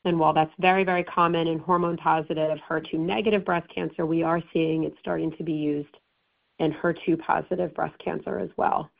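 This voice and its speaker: Hoarse woman doctor on a tinny line. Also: sits low, level tone, a little fast. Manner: informative, many ums and restarts. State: hoarse, recovering. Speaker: breast oncologist presenting. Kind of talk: medical presentation to patients. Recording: tinny phone-line audio.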